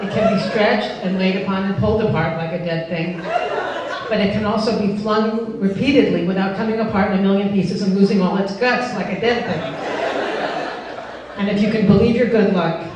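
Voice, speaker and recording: A woman's voice: mid-range voice, fairly even intonation, moderate pace, close to an everyday voice. A woman voicing an obsessive, pushy, self-centred dog with pompous, insistent phrasing in a live comic story reading. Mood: earnest, coaxing. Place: live benefit event before a laughing audience. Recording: echoey noisy hall, far from mic.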